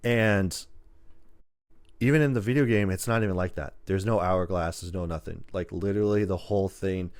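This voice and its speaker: A man with a low voice in casual podcast conversation, telling stories and acting out bits of dialogue as he goes. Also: moderate pace. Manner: animated storytelling, acts out imagined dialogue. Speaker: film podcast host. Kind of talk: casual chat about movies.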